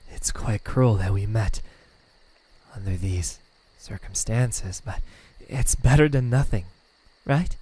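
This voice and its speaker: A man voicing a lonely, tender demon in love in an ASMR roleplay, speaking softly and haltingly, often near a whisper. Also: on the low side, poor audio. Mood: bashful, wondering. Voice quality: breathy, soft, half-whispered. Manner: hesitant, halting, stammering. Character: lovesick male demon, lonely, tender, self-doubting.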